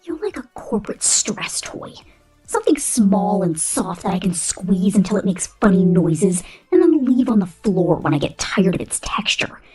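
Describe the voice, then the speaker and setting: A woman's voice: medium pitch, sing-song, darkish tone, faint music behind, rough recording. A woman voicing a cruel, domineering female robot supervisor in an ASMR roleplay, condescending and menacing, often dropping into whispers. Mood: menacing, intimate. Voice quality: breathy. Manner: condescending, menacing, slow theatrical delivery with frequent whispers.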